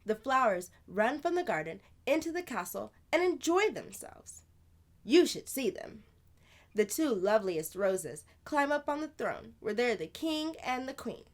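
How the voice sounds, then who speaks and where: A woman plays a storybook big sister spinning fanciful tales. The voice is high and very lively in its swoops, emphatic, playful and confiding, as if fully in the know. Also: unhurried. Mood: excited, confiding. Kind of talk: children's storybook read-aloud.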